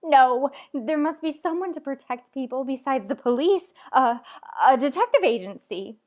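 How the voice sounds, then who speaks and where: A woman's voice: high voice, animated, moderate pace. A woman playing a frantic, demanding married woman, overwrought and melodramatic in a retro radio thriller style. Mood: desperate, indignant.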